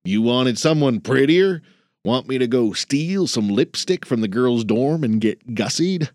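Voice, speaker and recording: A man's voice: medium pitch, expressive, measured pace, darkish tone, dry recording, clean studio sound, lightly acted. A man voicing a grumpy old male janitor who talks bluntly in folksy slang. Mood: gruff.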